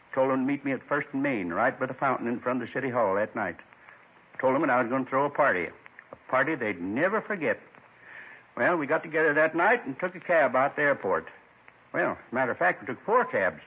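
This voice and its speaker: An actor playing a lonely, gentle man in his seventies, folksy and wistful, confessing in short rambling phrases in 1950s radio drama style. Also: mid-range voice, husky. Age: 70s. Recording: muffled 1950s radio recording.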